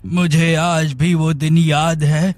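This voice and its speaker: Man playing a higher-voiced, scheming blind beggarly schemer. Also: little pitch movement, takes it slowly, darkish tone, no echo, soft background music. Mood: wistful. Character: scheming blind man feigning helpless, wronged innocence. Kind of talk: animated moral story dialogue.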